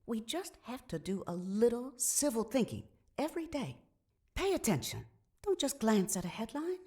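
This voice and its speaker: A woman's voice: a little high, very animated, normal speed, darkish tone. A woman playing a practical, plainspoken, civic-minded adviser in a scripted audio play. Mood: instructive.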